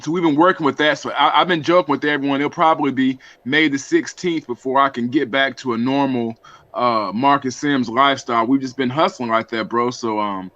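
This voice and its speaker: A man with a mid-pitched voice speaks off the cuff as a guest on a radio panel. He is informal and wordy, and the audio is dry but a little muffled. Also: a little fast, bright, forceful tone, dry recording. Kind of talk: casual unscripted radio talk. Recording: dull, slightly lo-fi audio.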